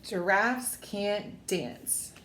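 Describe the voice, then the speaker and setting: Slow-paced woman reading a storybook, roomy echo. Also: medium pitch, noisy background. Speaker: teacher reading aloud. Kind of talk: children's storybook narration.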